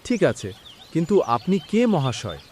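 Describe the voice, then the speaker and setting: An actor playing a humble, honest, hardworking blacksmith in a cartoon, speaking earnestly and politely. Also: male voice, fairly high pitch, huge pitch swings, normal speed, a little mellow, slight background noise. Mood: calm, polite. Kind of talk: cartoon voice acting.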